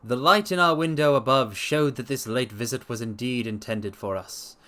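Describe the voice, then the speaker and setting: A man streaming a dramatic story reading, with theatrical, expressive delivery and casual asides. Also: mid-range voice, moderate pace. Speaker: streamer and reader.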